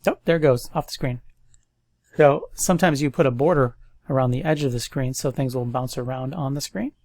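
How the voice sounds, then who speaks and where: Man, stuffy nose, gravelly voice, dry close mic, relaxed coding walkthrough. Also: medium pitch, brisk, no room sound. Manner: thinks aloud, relaxed and chatty. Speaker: coding tutorial host. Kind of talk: casual screen-recorded coding walkthrough.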